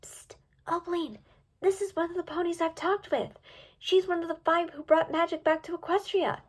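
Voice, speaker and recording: A woman's voice: extremely high pitch, lively intonation, normal speed, pitched above the performer's own voice. A woman voicing an eager, easily distracted young female pony in a storybook reading. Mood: whispering. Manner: eager, pleading, bubbly.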